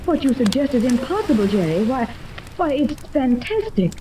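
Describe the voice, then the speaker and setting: A woman's voice: high-pitched, moderate pace. An actress playing an earnest middle-aged lady of means in a 1930s radio serial. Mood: astonished. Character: wealthy, earnest mother searching for her lost daughter. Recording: noisy old radio recording, steady crackle.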